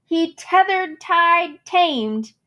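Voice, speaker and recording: Slow, high-voiced woman reading a storybook expressively. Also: lively intonation, bright, forceful tone. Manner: theatrical, savoring alliteration and rhyme, dramatic emphasis. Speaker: storybook reader. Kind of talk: expressive children's picture book read-aloud.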